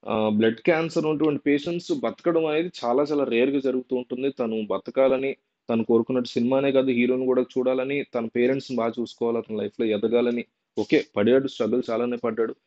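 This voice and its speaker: A man's voice: medium pitch, fairly even intonation, quick pace, mellow. A man presenting an informal unscripted explainer, repeating and rephrasing points and mixing in English terms. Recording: dull, lo-fi sound.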